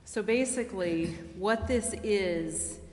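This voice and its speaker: A woman legal adviser explaining a matter to a public meeting in a measured way. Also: medium pitch. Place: council chamber. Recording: very echoey hall, faint noise.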